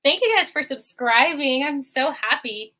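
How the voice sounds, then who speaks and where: A young woman with a high, very bright voice chats as a guest on a livestream. She giggles often and uses plenty of fillers. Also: lively intonation, a little slow. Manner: giggly, with frequent laughs and fillers. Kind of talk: casual chat.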